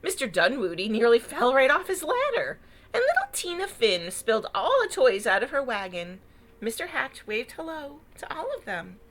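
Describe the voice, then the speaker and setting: Female children's storyteller, bright and highish, expressive picture-book read with dramatic emphasis. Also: moderate pace. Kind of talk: animated read-aloud narration with asides.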